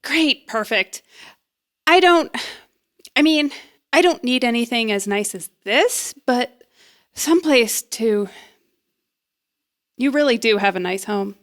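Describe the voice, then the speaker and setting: A woman's voice: a little high, expressive, takes it slowly, long pauses, a bit bright. A woman playing a nervous, eager young woman in an audio drama, rambling and hesitant as she bluffs her way along. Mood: eager, awkward. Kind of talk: naturalistic audio drama acting.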